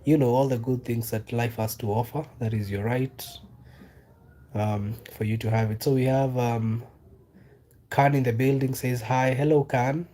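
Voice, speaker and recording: Young kenyan guy on a livestream, chill, lots of um and you know, mid pitch voice. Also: steady tone, warm tone. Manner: loose and unhurried, many fillers and repeated questions. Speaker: livestreamer reading chat. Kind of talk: casual live-stream chat.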